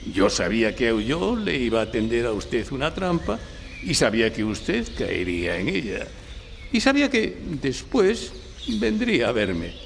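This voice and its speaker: A pompous, playful celebrated lawyer in a courtroom audio drama. His pitch swoops widely and has a gravelly creak. It is a live performance in a reverberant hall. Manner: rhetorical, pompous, theatrical. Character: Perry Mason, celebrated male lawyer, theatrical, playful, dog-like. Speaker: actor, narrator of own case. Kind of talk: theatrical courtroom radio drama before an audience. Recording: reverberant library hall, faint background noise.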